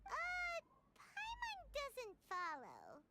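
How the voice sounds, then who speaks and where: A woman voicing a small, perky, childlike fairy companion in a video game, squeaky and exclamatory. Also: wildly swooping pitch, very slow, background noise, exaggerated voice. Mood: puzzled. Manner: exclamatory, speaks of herself in third person. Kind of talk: video game character acting.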